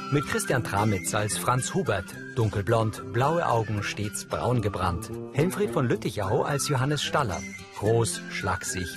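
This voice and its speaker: A man reading scripted narration as the audio describer of a TV episode. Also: fairly low pitch. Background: soundtrack music.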